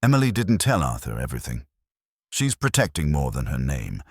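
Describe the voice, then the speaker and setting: A man's voice: a bass voice, huge pitch swings, a little fast, no room sound, clean studio sound, heavily acted. A man voicing a cool, composed, assertive female publicist in a detective audiobook. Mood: insistent.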